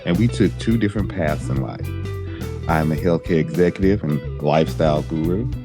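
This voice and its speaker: Very deep-voiced man chatting easily. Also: expressive, over music. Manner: laid-back, joking, drawn-out phrasing. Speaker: podcast co-host. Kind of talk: casual chat.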